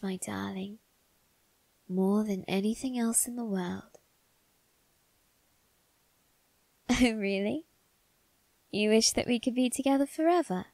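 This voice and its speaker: A woman's voice: mid-range voice, gravelly, no echo. A woman voicing a suave, doting young male vampire aristocrat in an ASMR roleplay, speaking to the listener in a genteel, coaxing, faintly sinister way. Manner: genteel, coaxing, softly condescending.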